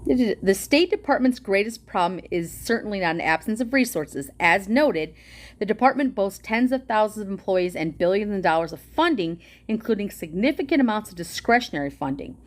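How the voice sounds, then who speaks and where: A woman hosting a livestream, chatting excitedly with viewers and reading a policy document aloud, with a loud voice and a casual, swearing manner. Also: medium pitch, moderate pace.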